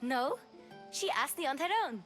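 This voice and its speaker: An eager young girl in a video game, bossy and sure of herself. The voice is high and lively in its swings, with faint music underneath. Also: moderate pace. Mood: cheerful, bossy. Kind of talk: video game dialogue acting.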